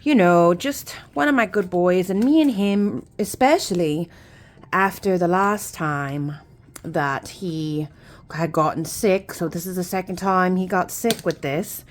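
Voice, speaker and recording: A woman's voice: on the low side, measured pace, close to an everyday voice. Woman doing a folksy mock Irish-Scottish lilt. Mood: sad, rambling. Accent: put-on Irish or Scottish. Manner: rambling, folksy phrases, many fillers. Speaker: YouTuber. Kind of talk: casual chat.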